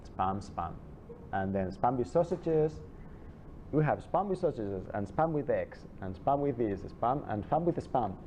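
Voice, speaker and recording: A man giving an informal classroom lecture, chatty and digressive, with many halting restarts and asides. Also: medium pitch, lively intonation, mellow, slight background noise. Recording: dull lo-fi classroom recording.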